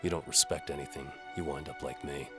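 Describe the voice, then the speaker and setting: A man playing a sardonic, world-weary medieval bow for hire, narrating in a wry deadpan as scripted audio drama. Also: very deep voice, fairly quick, music in the background. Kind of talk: first-person scripted narration, hard-boiled audio drama.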